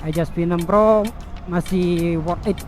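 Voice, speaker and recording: A high-pitched man talks casually into a helmet intercom headset. He explains things like a motovlogger reviewing gear, with faint music behind him. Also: steady tone, a little slow. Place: outdoors, riding a motorbike on the road.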